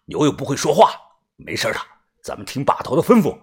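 A narrator voicing a calm, practical senior man of a crew in an audiobook, steady and dismissive. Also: medium pitch, brisk, breathy edge, heavily acted. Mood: reassuring.

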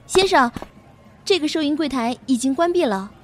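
A woman playing a perky, bossy young friend of a student in an audio drama, excitable and exclamatory. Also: sits high, moderate pace, slight background noise. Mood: perky, dramatic. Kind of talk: Mandarin audio drama acting. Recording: music bed under the voice.

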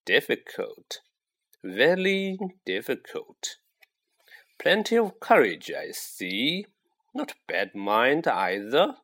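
A sly old talking hat from a storybook, murmuring its thoughts slowly and drawing out each word, as a man puts it on. The voice swoops up and down in pitch as it muses. It sounds hushed and close, as if speaking right into someone's ear. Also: big pitch swings, full character voice. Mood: musing. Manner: slow, drawn-out musing. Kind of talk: audiobook character voice.

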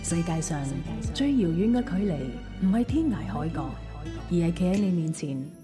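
A woman's voice: lowish voice, animated, moderate pace, over music. A woman with a Cantonese accent delivering scripted narration for a radio drama promo.

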